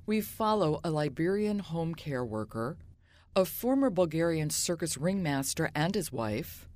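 Low-voiced woman hosting an arts program. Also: darkish tone. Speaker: radio host. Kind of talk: scripted program introduction.

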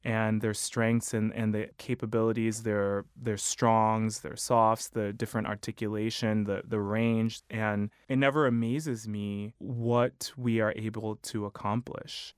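A man in his thirties, a choral conductor with a high baritone voice, giving measured, thoughtful interview answers. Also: little pitch movement, smooth, no room sound, studio quality. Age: 30s. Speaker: choral conductor and music director.

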